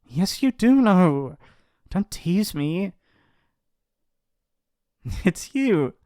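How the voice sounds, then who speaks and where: A man playing a shy, gently possessive young mafia heir in an intimate ASMR roleplay, speaking hesitantly and affectionately. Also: sits high, big pitch swings, extremely slow, dry recording, studio quality, exaggerated voice. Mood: playful, adoring. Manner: hesitant, earnest, stammering, affectionate. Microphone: close studio mic, dry.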